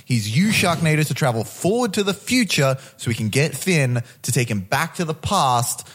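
A man's voice: fairly high pitch, very animated, moderate pace, fairly bright tone, slightly rough. A man co-hosting a podcast, talking casually about films and TV with some swearing. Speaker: podcast co-host.

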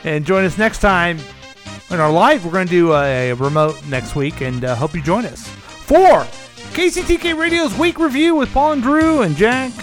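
A male host with a lively, swooping pitch, playful and quick to laugh. Faint music plays behind his talk. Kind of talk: casual comedic podcast banter.

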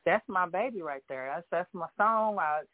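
A woman from Oklahoma, a guest singer calling in, talking in a soft, halting way with many fillers. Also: lowish voice, expressive. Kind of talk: phone-in interview. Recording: tinny phone line, dry.

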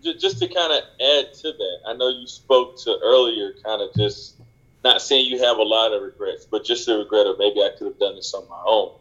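A man's voice: fairly low pitch, moderate pace, sharp tone, muffled recording. A man co-hosting a podcast, hesitant and apologetic, restarting his question as he goes. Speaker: podcast co-host. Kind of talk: casual interview chat.

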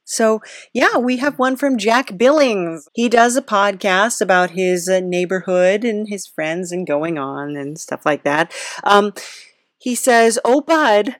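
A woman's voice: mid-range voice, takes it slowly, dry recording, studio quality. A woman hosting a casual comic podcast interview in a hesitant, awkwardly chirpy, giggly manner. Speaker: podcast host.

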